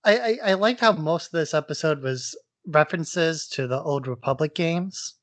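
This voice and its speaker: A man's voice: fairly high pitch, normal speed. Wry man chatting as podcast co-host. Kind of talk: casual, conversational commentary.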